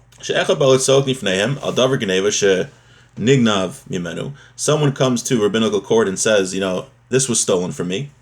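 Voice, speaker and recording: A man's voice: lowish voice, quick pace. A man giving a religious lesson, reading from a text and explaining it, repeating and rephrasing his points as he goes. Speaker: religious teacher giving a daily class.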